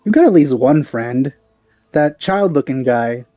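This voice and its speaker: Very low-voiced woman doing gameplay commentary. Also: animated, a little slow, warm tone, faint music behind. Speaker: let's play host.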